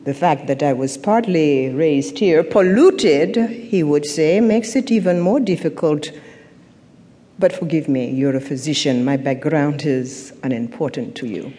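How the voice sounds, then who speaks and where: An actress playing a haughty, sardonic middle-aged African mother of a dictator in an audio drama, speaking in measured, cutting phrases. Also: deep voice, warm tone. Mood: sardonic, proud. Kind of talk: audio drama stage acting. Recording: reverberant theatre-like hall.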